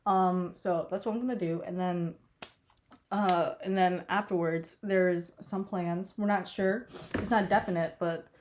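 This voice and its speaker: A woman's voice: on the low side, steady tone, a little slow, a little mellow. Stuffy-nosed college girl rambling thru her get ready with me, swears a lot, laughs a bunch, a bit of hiss behind. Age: young adult. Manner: rambling, profane, giggly, many fillers. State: congested. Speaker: college student vlogger. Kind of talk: casual get-ready-with-me vlog chat.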